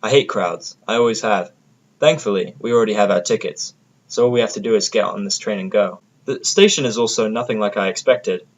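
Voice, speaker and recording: A man's voice: lowish voice, a little fast, lo-fi sound. A man narrating a first-person audio drama from a script, with a sardonic manner.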